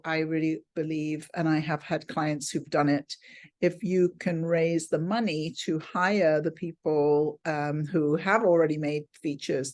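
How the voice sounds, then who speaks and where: Effusive woman teaching, slightly low voice. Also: a little mellow. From England. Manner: effusive, exclamatory. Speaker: teacher of a film finance class. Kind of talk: informal online class teaching. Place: remote video call.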